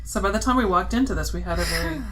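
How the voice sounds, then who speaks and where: A woman's voice: mid-range voice, animated, very fast, a crowd in the background, low-quality recording. A woman chatting casually in an interview as one of a podcast's creators. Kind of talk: casual chat.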